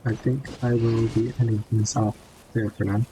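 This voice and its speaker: A man's voice: little pitch movement, moderate pace, noisy background. A man giving casual, offhand gameplay commentary as a streamer on a built-in microphone. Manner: low-key, offhand. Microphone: built-in device microphone. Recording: built-in mic under loud game music.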